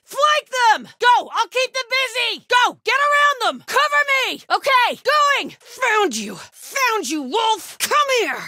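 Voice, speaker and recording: A fierce, devout female cult warrior for a video game. Her voice is very high and bright, swinging widely in pitch. She speaks with fanatical conviction, every word clipped into a combat line. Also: no room sound, studio quality, exaggerated voice. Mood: fierce, commanding, then aggressive, vengeful. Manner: urgent shouted battle calls, hushed prayerful murmurs.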